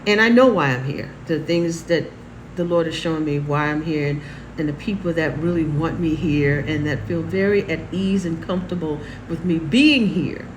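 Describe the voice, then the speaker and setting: A woman's voice: sits low, normal speed. A middle-aged woman host talking in a casual, reflective way, repeating her phrases for emphasis. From New York City and Houston. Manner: warm, repetitive, emphatic phrasing with laughs. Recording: noisy room with air conditioning hum.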